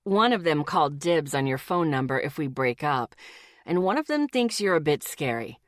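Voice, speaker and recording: A woman voicing a husky, grumbling young man who teases his girlfriend in an audiobook. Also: big pitch swings, normal speed, dry recording, clean studio sound. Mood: teasing. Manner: grumbling, low and teasing. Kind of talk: audiobook character voice.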